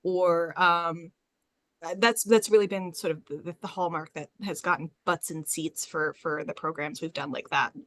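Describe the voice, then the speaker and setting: A woman's voice: lowish voice, fairly even intonation, normal speed, fairly bright tone, no room sound. A woman speaking informally as an academic librarian on a discussion panel, explaining things in a rambling, conversational way.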